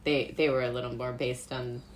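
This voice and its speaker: A woman's voice: rumbling low, little pitch movement, moderate pace, slight background noise. A young woman from Brooklyn chatting casually as an interview guest, goofy and talkative, dropping "like" often. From Brooklyn, New York. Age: young adult. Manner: self-described goofy, chatty with frequent "like". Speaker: interview guest, playwright and actor.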